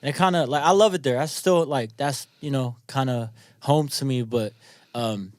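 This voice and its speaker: A man's voice: mid-range voice, moderate pace, a little mellow, no echo, clean studio sound. A young man born in New Zealand and raised in California, chatting loosely as a podcast guest, dropping "bro" and "man" a lot. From born in New Zealand, grew up in California. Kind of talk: casual chat.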